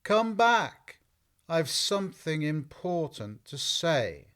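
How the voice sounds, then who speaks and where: Sleepy grumpy caterpillar for an audiobook, low voice, drawls really slow, swoopy pitch. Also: female voice, big pitch swings, fairly bright tone, heavily acted. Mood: languid, commanding. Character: languid, curt, contrary caterpillar, sleepy and easily offended. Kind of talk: full-cast audiobook acting.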